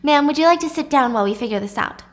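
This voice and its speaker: A woman's voice: high voice, lively intonation, very fast, pitched above the performer's own voice. A woman voicing a courteous, professional hotel receptionist with a placating, formal manner. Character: polite, formal hotel front desk receptionist.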